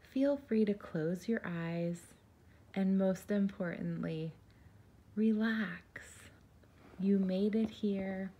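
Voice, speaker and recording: A woman leading a guided meditation in a soft, soothing, gentle and unhurried voice, breathy at times. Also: on the low side, lo-fi sound.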